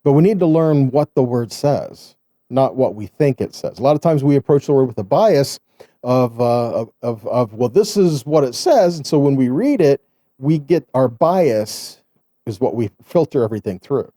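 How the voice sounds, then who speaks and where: A man's voice: medium pitch, lively intonation, moderate pace, dark tone, no room sound, clean studio sound. A man giving an informal sermon as a Bible teacher, earnest and exhorting, often checking in with rhetorical questions. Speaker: Bible teacher, host of online Torah studies.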